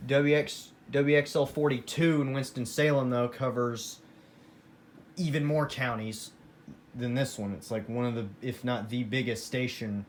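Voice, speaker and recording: Casual American male hobbyist vlogger, mid-pitched, informal and enthusiastic, rambling delivery, noisy room recording. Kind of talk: casual rambling show-and-tell of a radio collection. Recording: noisy room with clear background noise.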